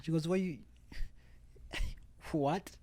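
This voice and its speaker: East African man with a mellow, higher voice. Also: steady tone, extremely slow. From Uganda, Rwandan refugee family. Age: about 40. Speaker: podcast guest. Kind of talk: personal testimony in an interview.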